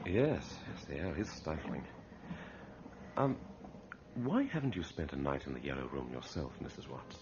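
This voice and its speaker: A man's voice: a bass voice, very animated, normal speed, a little mellow, a little hiss or hum. An actor playing a brash, broke young man, a sceptical ghost researcher in a radio mystery, speaking politely with hesitant stammers. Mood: probing. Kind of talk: radio mystery drama acting. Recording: muffled old radio recording.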